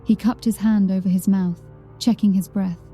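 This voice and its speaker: A woman narrates horror fiction with a very dark, velvety tone at a middling pitch. Her delivery is scripted, dramatic storytelling, with faint music underneath. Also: fairly even intonation, moderate pace. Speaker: audiobook narrator.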